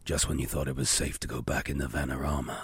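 A man voicing a grave, portentous film trailer announcer in a parody voice-over, slow and drawn-out. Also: very deep voice, level tone, over loud music, voice pushed down. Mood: ominous.

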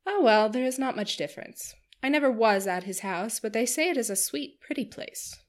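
A woman's voice: fairly high pitch, expressive, moderate pace, lightly acted. A woman doing a gushing, chattering young wife.

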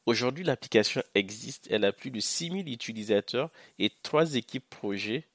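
A young man from Niger, a Hausa speaker, giving a measured, earnest first-person account on a podcast with occasional hesitant fillers. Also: medium pitch, expressive, a bit bright, no echo, studio quality. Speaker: podcast guest, social entrepreneur. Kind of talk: first-person podcast testimony, partly read.

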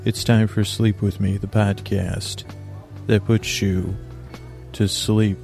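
A man hosting a podcast, talking in a meandering, halting way and drifting into a slow, lulling bedtime monologue. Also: fairly low pitch, flat delivery, vocal fry, a little mellow, soft background music. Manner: meandering, halting, with restarts and filler words; slow drowsy lulling delivery. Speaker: podcast host.

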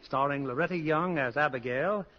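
A man's voice: on the high side, moderate pace, big-room sound. A male announcer delivering scripted narration in the style of 1940s radio. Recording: lo-fi 1940s radio broadcast, loud music.

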